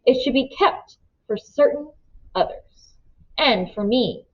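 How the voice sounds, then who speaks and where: A woman's voice: lively intonation, takes it slowly, highs cut off. A woman reading a storybook voices a smug, greedy man who talks with petulant, thin politeness. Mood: condescending, eager. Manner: smooth talk turning higher, petulant and shrill.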